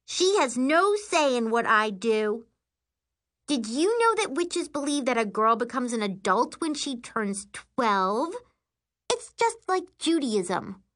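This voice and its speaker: A woman voicing an indignant, bossy twelve-year-old girl in a shrill, snapping voice for an audiobook. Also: big pitch swings, takes it slowly, a bit bright, full character voice. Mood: defiant.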